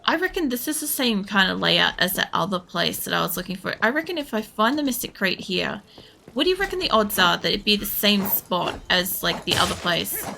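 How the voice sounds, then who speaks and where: Female game streamer, gravelly fry, casual and sweary rambling commentary, noticeable background noise. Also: medium pitch, very animated, fairly quick, bright, forceful tone. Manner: sweary, blunt, thinking aloud. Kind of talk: casual live gameplay commentary, rambling chat.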